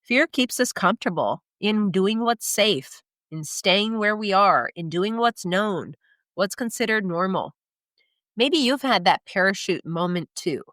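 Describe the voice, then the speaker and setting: A woman's voice: medium pitch, a bit bright, no echo. Woman host, stuffy and nasal from a cold, upbeat coaching delivery, dry close mic. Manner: motivational, emphatic with rhetorical questions. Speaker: podcast host and career coach. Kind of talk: scripted solo podcast monologue.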